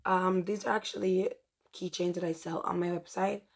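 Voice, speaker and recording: A woman's voice: fairly low pitch, steady tone, normal speed. Woman with a strained, half-lost voice. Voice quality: strained, rough. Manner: rambling, self-correcting. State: voice mostly gone, dry throat. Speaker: crochet tutorial host and shop owner. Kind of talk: chatty crochet walkthrough.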